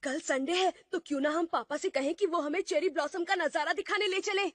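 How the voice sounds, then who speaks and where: A woman's voice: very high voice, fairly quick, a bit bright, no room sound, studio quality. A woman voicing an eager, pleading young schoolboy in a cartoon dub, with a whiny, emphatic delivery. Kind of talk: Hindi cartoon dub acting.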